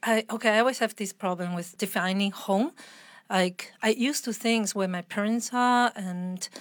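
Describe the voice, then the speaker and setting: A woman's voice: mid-range voice, moderate pace, no echo. A woman with a mixed international accent speaks as a guest in a conversational interview. She is earnest and reflective, explaining her thoughts expressively. The recording is very dry, with little room sound.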